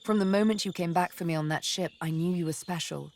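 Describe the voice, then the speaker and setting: An actress voicing a vengeful, seductive elf assassin in a video game, deliberate and teasing, often murmuring. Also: female voice, fairly low pitch, a little mellow, close to an everyday voice. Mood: flirtatious, intimate.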